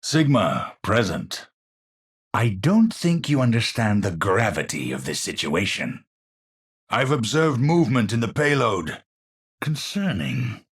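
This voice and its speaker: An eccentric male astrophysicist from a video game, with a Dutch accent. His voice is slightly rough and breathy and moves at a slow, measured pace. The pitch ranges enormously, giving his learned, theatrical phrasing a grandiose, unstable edge. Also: very animated, exaggerated voice. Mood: brooding, perplexed. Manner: theatrical, professorial, slow and deliberate wording.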